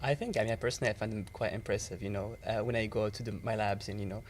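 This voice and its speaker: Young man from Morocco, soft noise behind. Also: on the low side, rapid-fire. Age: young adult. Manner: relaxed, with hedging fillers. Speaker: student guest.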